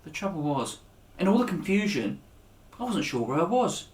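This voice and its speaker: A man reading a children's story aloud as its author, with a dramatic, expressive delivery that rises and drops to a hush. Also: on the high side, moderate pace. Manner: dramatic, with raised voices for dialogue and hushed endings. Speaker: author reading his own children's book. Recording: echoey room.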